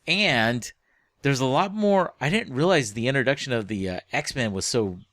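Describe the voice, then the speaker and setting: A man's voice: mid-range voice, lively intonation, moderate pace, fairly bright tone, dry recording. A man co-hosting a casual book club podcast, speaking informally with a joking, crude sense of humour. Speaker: podcast co-host.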